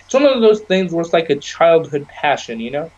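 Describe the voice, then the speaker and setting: A man's voice: a little high, sing-song, brisk, darkish tone, dull audio. Rambling young man on a podcast. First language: Arabic. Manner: rambling, casual, peppered with "you know". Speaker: podcast co-host. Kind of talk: casual chat.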